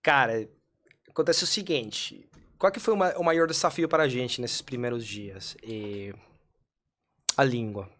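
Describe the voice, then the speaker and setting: A man from Colombia speaks as a podcast guest with a clear Colombian Spanish accent. He talks in an earnest, measured way, at a middle pitch, and pauses now and then to find the right Portuguese word. He is a native Spanish speaker who tells his story at a calm, even pace. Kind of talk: casual interview storytelling.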